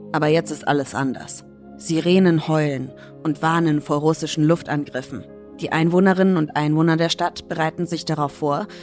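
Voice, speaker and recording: A woman narrating a news report in a steady, measured, scripted way. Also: sits low.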